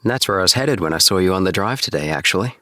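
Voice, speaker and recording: A man's voice: low voice, animated, fast talker, sharp tone, no room sound, studio quality, pitched above the performer's own voice. A man reading an audiobook, voicing a breezy, teasing young woman with a lifted, playful voice.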